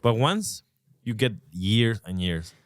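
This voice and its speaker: Man, podcast host, very expressive pitch, dry close sound, reflective and rambling. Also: slow, no echo. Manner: reflective, rambling, swears casually. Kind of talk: casual podcast conversation.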